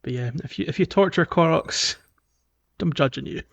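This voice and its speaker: A man's voice: on the high side, moderate pace, vocal fry, fairly bright tone. A man co-hosting a podcast in casual game chat, recorded with slightly dull, lo-fi sound. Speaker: podcast co-host.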